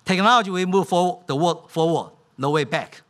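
A man's voice: fairly high pitch, normal speed, a bit bright. A middle-aged man giving a keynote speech from a podium in a conference hall, speaking in simple. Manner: non-native English, simplified grammar. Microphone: podium microphone.

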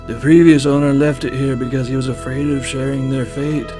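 A man's voice: mid-range voice, steady tone, a little fast, music in the background, close to an everyday voice. A man voicing an old grandfather in an anime fandub, a cheeky storyteller. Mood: grave storytelling. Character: cheeky old grandfather, a grave teller of legends.

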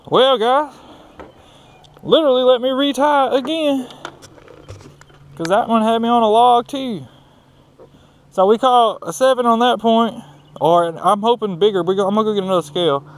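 An excitable man with a Southern US accent who repeats himself, chatting casually on a fishing vlog from a small open boat. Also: very high voice, moderate pace, long pauses. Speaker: fishing vlogger. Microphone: action camera, worn or mounted nearby. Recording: outdoor action camera with background noise.

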